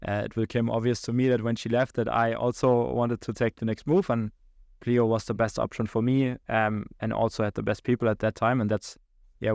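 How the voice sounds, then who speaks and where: A man's voice: on the low side, gravelly, no room sound, studio quality. A young man from Germany with a German accent, a podcast guest talking through his career with many fillers and restarts. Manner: halting, many restarts and fillers, laughs between thoughts. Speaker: guest, account executive. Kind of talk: podcast interview.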